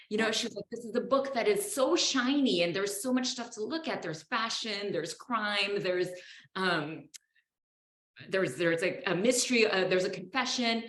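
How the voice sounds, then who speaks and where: A woman's voice: mid-range voice, fairly bright tone. Thoughtful woman author on echoey call. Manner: thoughtful, many fillers and restarts. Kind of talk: casual interview chat.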